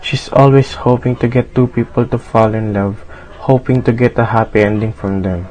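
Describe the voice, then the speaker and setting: A man's voice: mid-range voice, steady tone, normal speed, darkish tone, rough recording. A man narrating a radio drama as a scripted storyteller.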